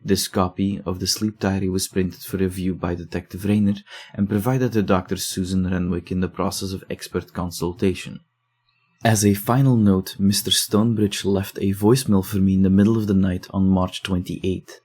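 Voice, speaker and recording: Male actor as sombre investigator narrating horror case files: deep, flat delivery, measured and deadpan. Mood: grave, matter-of-fact. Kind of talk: scripted horror audio drama narration.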